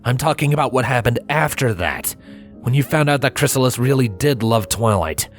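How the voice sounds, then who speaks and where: A man playing a gentle but firm pony husband in a story reading, earnest and given to sighs. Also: medium pitch, lively intonation, normal speed, faint music behind, pitched above the performer's own voice, lightly acted. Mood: reproachful. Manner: soft-spoken, sighing, earnest.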